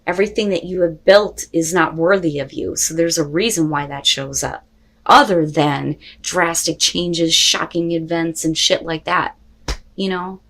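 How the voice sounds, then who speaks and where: A woman with a fairly low-pitched voice reads tarot cards for her viewers. She speaks off the cuff in a casual, expressive, conversational manner, peppered with rhetorical questions. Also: a little slow. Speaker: tarot reader and host. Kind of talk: casual, unscripted tarot reading addressed to viewers.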